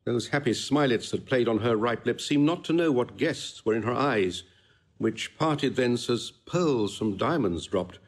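An actor playing a tender, eloquent courtly gentleman in a classical audio drama, speaking with earnest lyricism. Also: medium pitch, expressive, unhurried, husky. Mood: tender admiration. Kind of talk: classical stage-style audio drama acting.

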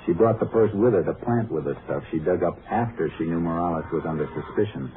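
Deep-voiced, terse Texas Ranger played by a man. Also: fast, a little hiss or hum, close to an everyday voice. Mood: urgent, sure. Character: terse, shrewd male Texas Ranger detective. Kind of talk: 1950s radio drama acting, narration and dialogue. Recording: muffled 1950s radio recording, music.

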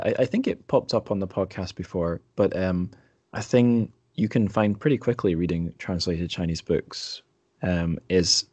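A man's voice: low voice, a little mellow, slightly rough, no room sound. A man hosting a podcast interview in a casual, conversational way. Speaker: podcast host.

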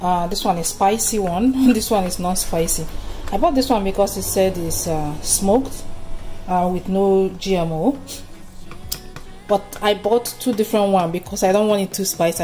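A woman's voice: medium pitch, moderate pace. A woman thinking aloud in a casual cooking vlog as she works in her home kitchen. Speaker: home cook vlogger. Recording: loud music behind the voice.

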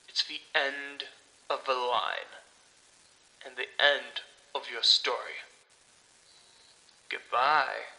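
A man's voice: medium pitch, creaky, bright, forceful tone, pitched below the performer's own voice, heavily acted, poor audio. A man playing a bored, taunting villain in a horror audio drama, mock-polite, smug and theatrical.

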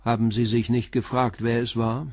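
An actor in a German crime radio play playing a calm, persistent middle-aged police commissioner who questions in a measured, blunt way. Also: male voice, mid-range voice, dry recording, studio quality, close to an everyday voice. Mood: insistent, confronting.

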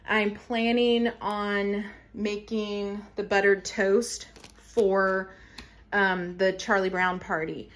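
A woman's voice: mid-range voice, fairly bright tone, slight background noise. Middle-aged mom casually chatting through her grocery haul, homey and talky. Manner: chatty, homey, explains family meal plans. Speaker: family lifestyle vlogger.

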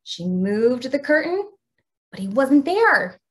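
A middle-aged woman with an American accent, explaining and reading aloud as a teacher of young children in an expressive way. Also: sits high, slow. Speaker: teacher demonstrating a read-aloud.

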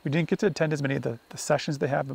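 Gravelly, husky man vlogging outdoors. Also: on the high side, rapid-fire. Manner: relaxed, rambling with fillers and restarts. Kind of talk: casual talk to camera. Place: outdoor campsite among pine trees. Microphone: camera held at arm's length.

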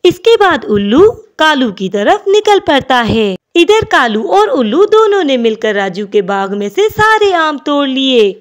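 A woman's voice: high-pitched, very animated, a little slow, hardly any pauses. A woman narrating a scripted children's story.

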